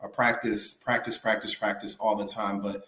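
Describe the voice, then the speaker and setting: A man's voice: lowish voice, little pitch movement, moderate pace, pushed and bright, reverberant. A male singer being interviewed, talking casually and rambling with lots of "you know what I'm saying". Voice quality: raspy.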